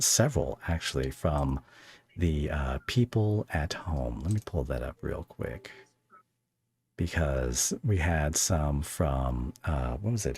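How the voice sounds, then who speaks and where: A man's voice: rumbling low, slightly rough. A man hosting a casual interview, talking in a rambling, stop-start way. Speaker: podcast host interviewing a guest.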